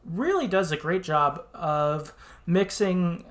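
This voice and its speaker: Casual man co-hosting a podcast, halting phrasing. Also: high-pitched, animated, a bit bright. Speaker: podcast co-host. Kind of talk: casual video game discussion.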